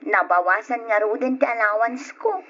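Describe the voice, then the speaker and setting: A woman's voice: a little high, moderate pace, fairly bright tone, lo-fi sound. A woman playing a dutiful, understanding young daughter in an old radio drama, with an Ilocano accent. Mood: reluctant, yielding.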